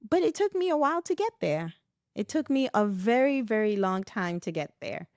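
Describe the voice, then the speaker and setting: A middle-aged woman from West Africa, a podcast guest in a reflective conversation, telling animated stories, laughing often and acting out the voices she quotes. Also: medium pitch, moderate pace, no echo, clean studio sound.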